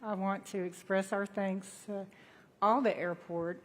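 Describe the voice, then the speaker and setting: A woman's voice: mid-range voice, fairly even intonation, a little slow. A woman giving a formal welcome speech at an announcement event as a representative of an airport board. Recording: echoey hall, amplified event speech.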